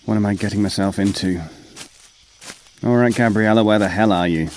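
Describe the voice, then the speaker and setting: A man's voice: on the low side, steady tone, fairly quick, a little mellow, slight background noise, natural voice, poor audio. A man playing a wary, skeptical investigator in an audio drama, plain-spoken and questioning.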